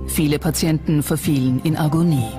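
Documentary narrator, medium pitch, scripted delivery, music bed underneath. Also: fairly even intonation, a little fast. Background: background music.

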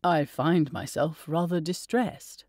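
A woman voicing a wry, low-voiced gentleman. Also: takes it slowly, no room sound, clean studio sound. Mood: teasing. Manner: low, drawling, wry teasing. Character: young gentleman husband, dry and playful.

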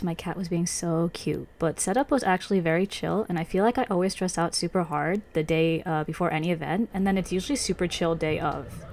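A young adult woman with a youthful voice, chatting informally and rambling through a casual vlog. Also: lowish voice. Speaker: vlogger and convention artist.

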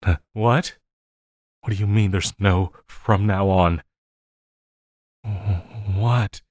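A man playing an obsessive, lovesick supervillain in an audio roleplay, needy and melodramatic, with halting, stammering delivery. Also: fairly low pitch, fairly even intonation, a little mellow, no echo, studio quality. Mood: desperate, begging. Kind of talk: intimate audio roleplay acting.

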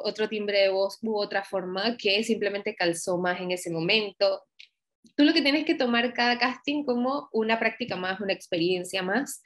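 A young woman from Venezuela with a Venezuelan accent, a voice actress chatting as a guest over a video call, lively and laughing often. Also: medium pitch. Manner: lively, laughs often, acts out quoted lines. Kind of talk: casual interview chat. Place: home room, video call.

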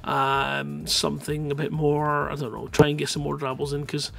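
A man's voice: on the high side, steady tone, moderate pace, vocal fry, fairly bright tone. A middle-aged man hosting a live streamed show in a casual, jokey, rambling way, speaking into a headset. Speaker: host of a live streamed writing-competition show. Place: indoors at a computer, live stream.

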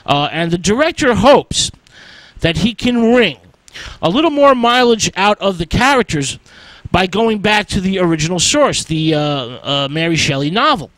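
A man hosting a radio show, giving an informal history lecture in a halting, drawn-out way with emphatic stresses. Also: high voice, expressive, fairly bright tone, no echo, rough recording. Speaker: radio host.

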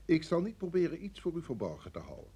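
A brusque senior official of a secret service in a vintage radio play. His husky voice sits fairly high and swings widely as he speaks with formal authority. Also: wildly swooping pitch, dark tone, exaggerated voice. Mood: apologetic, placating. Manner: authoritative, formal, measured phrasing. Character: senior security service chief, brusque, pressured, formally apologetic. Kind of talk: vintage Dutch radio drama acting.

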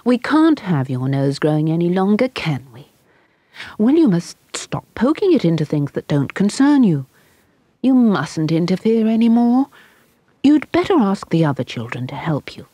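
A woman's voice: lowish voice, very animated, normal speed, mellow, no echo, pitched above the performer's own voice. A woman voicing an anxious mother who pleads and frets over her daughter. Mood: firm. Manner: fretful and pleading. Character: anxious, tearful mother of a young girl.

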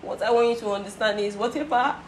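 A woman's voice: fairly high pitch, quick pace, natural voice. A woman playing an apologetic, begging friend. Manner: apologetic, begging, repeats sorry. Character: woman confessing a betrayal to her friend. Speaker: friend confessing. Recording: roomy echo, faint noise.

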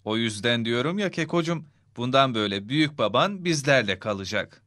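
A man voicing a patient, affectionate father in a children's cartoon, speaking gently and reassuringly. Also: medium pitch, expressive, fairly quick, no room sound, studio quality, natural voice. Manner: gentle, reassuring, clearly enunciated.

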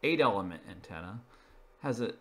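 A man's voice: on the low side, animated, fairly quick, pushed and bright. A man presenting an informal narrated slideshow, explaining each item in a matter-of-fact way. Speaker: video presenter.

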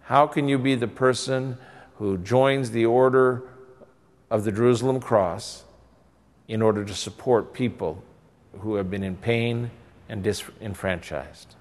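A man's voice: mid-range voice, a little slow. Older man, bishop, earnest pastoral appeal, church reverb. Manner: earnest, exhorting, rising and falling emphasis. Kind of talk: pastoral address to camera. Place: church interior by stained glass windows.

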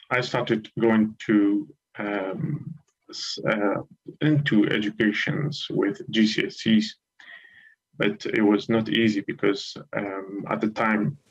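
A man in his thirties from Iraq, a guest lecturer telling his story on a live TV interview over a video call, measured and hesitant with frequent ums. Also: on the low side, vocal fry, husky, dull audio. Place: home room with curtains.

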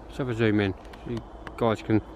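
A man's voice: lowish voice, flat delivery, brisk, darkish tone, a little hiss or hum. A man casually narrating as he explores an abandoned farm, wondering aloud and talking to viewers. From England. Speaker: urban explorer vlogger. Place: outdoors and in derelict farm barns.

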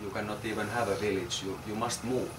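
Man, forties, low and monotone, halting speaker, caught from across a noisy echoey room. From Finland. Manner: halting, many fillers and repeated words. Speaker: architect presenting a research project. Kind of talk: informal talk to a small group. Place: small crowded room, indoors. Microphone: far camera. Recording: roomy, distant, noisy room.

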